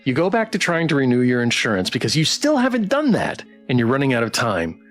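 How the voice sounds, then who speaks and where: A man hosting a casual game livestream, reading text aloud and chatting with playful, expressive reactions. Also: medium pitch, fast talker, faint music behind. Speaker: game streamer and host.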